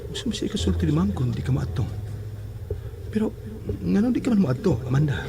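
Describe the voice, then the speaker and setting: A man's voice: on the high side, big pitch swings, quick pace. A man playing a dismissive schoolboy urging a classmate along in a horror audio drama, over background noise. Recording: noisy, with ambience behind the voice.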